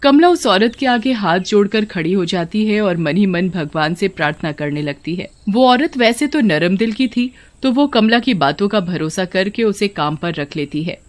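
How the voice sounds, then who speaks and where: A woman's voice: medium pitch, expressive, moderate pace, few pauses. A woman narrating a scripted moral story.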